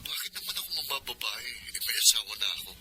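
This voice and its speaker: A man's voice: medium pitch, big pitch swings, fast talker, pushed and bright, low-quality recording. A man acting an affectionate, earnest young student husband in a radio drama. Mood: reassuring, tender. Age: young adult.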